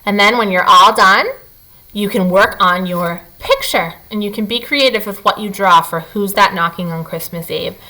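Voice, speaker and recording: A woman teacher reading to children and guiding them through a lesson, expressive and speaking to them directly. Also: medium pitch, moderate pace, poor audio. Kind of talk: children's read-aloud and lesson.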